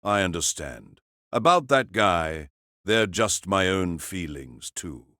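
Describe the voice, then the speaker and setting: An actor playing a stocky, composed young team captain in an audio drama, stern, measured and blunt but polite. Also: male voice, deep voice, lively intonation, pushed and bright, dry recording, studio quality, full character voice. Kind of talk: anime-style audio drama acting.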